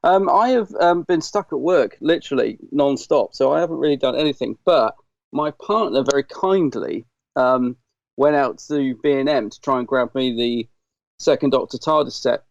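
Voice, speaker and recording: A middle-aged British man with a slightly croaky, dry throat, chatting casually and enthusiastically as a podcast host. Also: mid-range voice, normal speed, dry recording, lo-fi sound. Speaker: podcast host reviewing Doctor Who. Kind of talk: casual chat.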